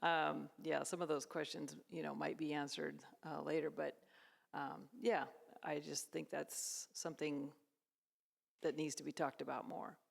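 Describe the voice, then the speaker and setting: Creaky-voiced woman in a reverberant hall. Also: low-pitched, flat delivery. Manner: tentative, halting. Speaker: class participant. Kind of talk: casual group discussion. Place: echoing room at a church.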